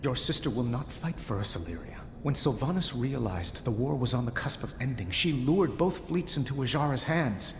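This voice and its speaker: A man playing an earnest, resolute young king in a game cutscene, speaking in a measured, noble, firm way. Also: mid-range voice, lively intonation, close to an everyday voice. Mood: troubled. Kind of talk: video game cutscene acting. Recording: clearly noisy ambience behind the voice.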